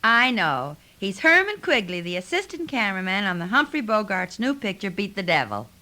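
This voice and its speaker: A woman playing a quick-witted, wisecracking sidekick in 1950s radio comedy, with a dry, matter-of-fact delivery. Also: medium pitch, very animated, pushed and bright. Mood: wry. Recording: old radio recording, slight noise.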